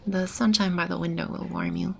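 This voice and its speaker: A woman playing a gentle, protective demon in an ASMR roleplay, speaking softly and soothingly with a breathy, whispery delivery. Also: on the low side, fairly even intonation, slight background noise. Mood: warm, confiding. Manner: soft, unhurried, soothing reassurance. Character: gentle protective female demon sheltering a lost child. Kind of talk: ASMR roleplay, soft-spoken with whispery passages. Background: ambient sound effects.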